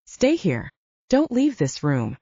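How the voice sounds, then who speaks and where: A woman reading aloud, voicing a calm, protective male werewolf who speaks in a level, reassuring way. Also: high-pitched, slow, husky, dry recording, exaggerated voice. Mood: commanding. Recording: dull lo-fi dry sound.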